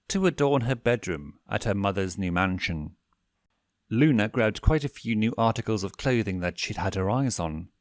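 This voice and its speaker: A man reading a story aloud in an expressive audiobook narration style. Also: lowish voice, dry recording, studio quality. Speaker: fanfiction narrator.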